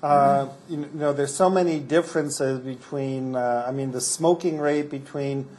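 Brief: male medical researcher at a lectern, explanatory and halting, mellow timbre. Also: medium pitch, fairly even intonation. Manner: explanatory, frequent restarts and fillers. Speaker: medical researcher presenting findings. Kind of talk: academic conference talk with Q&A.

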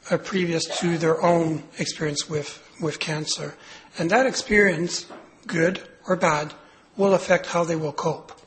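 A man with a raspy voice, hoarse from a cold, giving a clinical lecture with many pauses and fillers. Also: on the high side, fairly bright tone, a little hiss or hum, low-quality recording. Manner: hesitant, many fillers and restarts. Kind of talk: medical lecture.

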